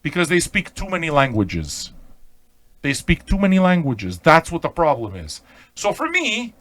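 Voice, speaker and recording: Brash higher-pitched man ranting on radio. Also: huge pitch swings, normal speed, fairly bright tone, dry recording. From Montreal, Quebec. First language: Italian. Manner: brash, profane, combative; emphatic, repeats phrases and checks in with "okay?". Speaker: online pirate radio host. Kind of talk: off-the-cuff ranting monologue.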